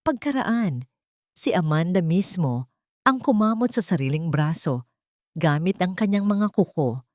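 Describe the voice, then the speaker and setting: A woman's voice: on the low side, expressive, a little slow, long pauses, a little mellow, no room sound, studio quality. A woman narrating a scripted audio drama in a dramatic storytelling style.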